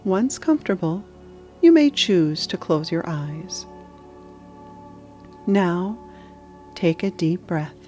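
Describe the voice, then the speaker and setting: A woman narrating a guided meditation in a soothing, measured manner with deliberate pauses. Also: on the low side, sing-song, a little mellow, faint music behind.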